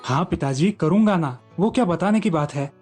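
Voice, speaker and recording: A studious young village son, sure of himself , acted by a male storyteller. The tone is mellow and a little high for a man, over story music. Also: animated, moderate pace, slightly rough. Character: studious, self-assured young son of a farmer. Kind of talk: children's story character voice.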